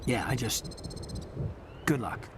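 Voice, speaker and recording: A dutiful lieutenant in a sci-fi game, earnest and a little awkward. The voice sits at a medium pitch and moves up and down a lot, over clearly noisy ambience. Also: male voice, animated, slow. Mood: earnest, subdued. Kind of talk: video game dialogue. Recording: noisy rumbling ambience behind voice.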